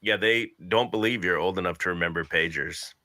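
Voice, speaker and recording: A man's voice: deep voice, brisk, bright, forceful tone, no echo, studio quality. A man from Minnesota hosting a stream, chatting in a laid-back, slangy, joking way. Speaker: stream host. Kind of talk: casual chat.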